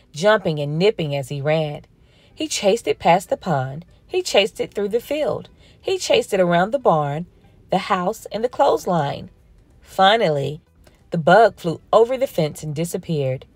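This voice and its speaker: A woman slowly reading a children's picture book aloud in a gentle storytelling manner. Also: on the low side, sing-song, dry recording, faint music behind. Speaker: storybook reader. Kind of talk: children's read-aloud narration.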